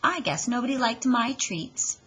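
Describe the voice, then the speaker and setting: A storybook mother frog, as a woman reader puts her on. She is fond and gently scolding, with a teasing motherly manner and animated pitch. There is faint noise in the recording. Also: unhurried. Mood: soothing.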